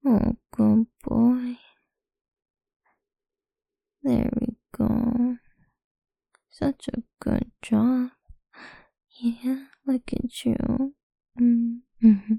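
Slow, whispering, gravelly woman. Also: on the high side, fairly even intonation, mellow, dry recording. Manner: slow, coaxing, mostly whispered. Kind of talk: whispered intimate ASMR roleplay.

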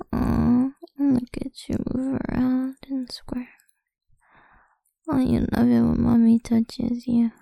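A woman speaking in a soft, coaxing, mostly whispered voice for an intimate ASMR roleplay. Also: a little high, vocal fry, husky, dry recording.

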